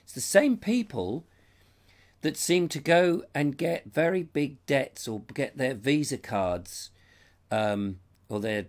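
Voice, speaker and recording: A middle-aged English man hosting a live stream, chatty and rambling, his voice strained by a cold and fading out. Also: mid-range voice. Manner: rambling, chatty, reads comments aloud. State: has a cold, losing his voice. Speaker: show host. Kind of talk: live-stream chat reading viewer comments. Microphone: lapel mic.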